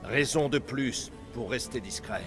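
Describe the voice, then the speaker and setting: A man acting a guarded, weary older Persian father and resistance fighter in a French-dubbed video game, speaking in a grave, measured way. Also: mid-range voice, natural voice. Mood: stern. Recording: game music behind.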